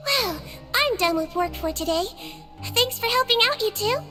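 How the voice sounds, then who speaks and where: A woman voicing a small, kindly girlish head nurse in a video game, gentle, reassuring and instructive. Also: very high-pitched, lively intonation, normal speed. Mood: friendly, businesslike. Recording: music under the voice.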